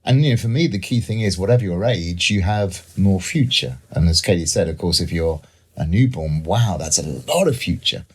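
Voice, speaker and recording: A man's voice: sits low, lively intonation, normal speed, breathy edge. A man, an expert guest explaining ideas conversationally. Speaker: guest, economics professor and author.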